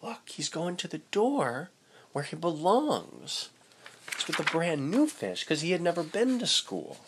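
Expressive young man reading a children's story. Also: a little high, measured pace, a little hiss or hum. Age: young adult. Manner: playful, expressive. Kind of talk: expressive read-aloud with casual asides to children. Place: indoors, small room with curtain.

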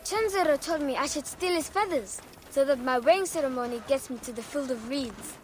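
A woman's voice: high-pitched, a little fast, slight background noise, lightly acted. A woman playing an eager, earnest young Egyptian boy, a hunter's son, in a video game. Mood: curious, excited. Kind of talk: naturalistic game cutscene acting.

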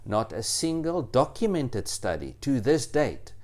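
A middle-aged man giving an explanatory talk to camera, with deliberate, halting phrasing. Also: medium pitch, big pitch swings, a little mellow. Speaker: presenter. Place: indoors, small room with bookshelves.

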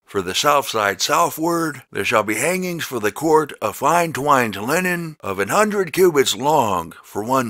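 A man narrates scripture in a bright, forceful voice with lively, swinging intonation. He reads deliberately and emphatically from a script. The sound is close and dry. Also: mid-range voice, dry recording. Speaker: Bible narrator. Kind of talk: slow scripted scripture reading.

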